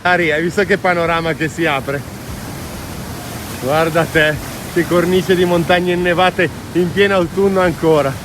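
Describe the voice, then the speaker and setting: A man's voice: fairly high pitch, fairly even intonation, normal speed. A man chatting casually and enthusiastically as he records a hiking vlog outdoors, in an informal, exclamatory way. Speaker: hiking vlogger. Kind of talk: casual vlog chat while walking outdoors. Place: outdoors on a mountain trail. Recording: outdoor noise, ambient hiss.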